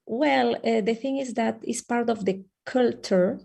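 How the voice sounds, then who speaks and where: A woman from Peru speaks over a video call, her voice mellow and relaxed. She talks in a halting, thoughtful way, often filling gaps with "uh" and "um" as she explains things. She sounds like a preschool teacher sharing her experience in a webinar. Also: on the high side, creaky. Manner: hesitant, many fillers. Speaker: early childhood teacher. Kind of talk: webinar conversation. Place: lives in the Netherlands.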